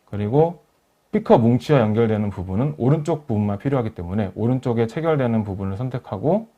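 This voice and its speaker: A man narrating a software tutorial as a webinar instructor, explaining each step in a polite, formal way. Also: lowish voice. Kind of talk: software tutorial narration over screen demo. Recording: dull lo-fi voice recording.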